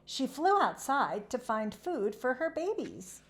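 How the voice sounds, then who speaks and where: A kind young storybook cowgirl, voiced by a woman reader. She is reassuring and gentle, with very lively, swooping intonation. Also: sits high, takes it slowly, voice pushed up, heavily acted. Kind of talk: children's storybook character reading.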